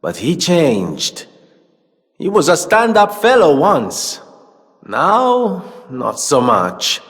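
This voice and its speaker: A man's voice: fairly high pitch, huge pitch swings, drawn-out words, a bit bright, heavily acted. An actor playing a wealthy, vain inventor and philanthropist in a video game, with pompous, flowery speech that turns hesitant when he is flustered. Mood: troubled, earnest. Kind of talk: theatrical video game voice acting. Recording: long reverberant hall.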